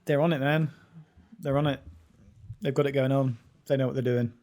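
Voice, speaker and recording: A man's voice: mid-range voice, fairly even intonation, moderate pace, a little mellow. A British man talking casually and informally as a podcast host, repeating phrases for emphasis. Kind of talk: casual chat.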